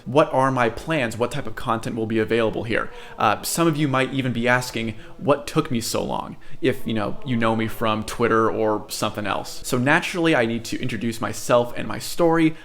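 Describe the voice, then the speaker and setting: A man's voice: medium pitch, moderate pace, few pauses, fairly bright tone. A young American man introducing his channel in a chatty, earnest way, with many fillers and asides. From United States. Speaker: channel host. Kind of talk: casual direct-to-camera introduction.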